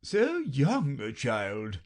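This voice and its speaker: A narrator voicing a fatherly gentleman who speaks slowly and softly, in a storybook reading. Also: mid-range voice, huge pitch swings, exaggerated voice. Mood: confiding. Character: old gentleman, fatherly and confiding.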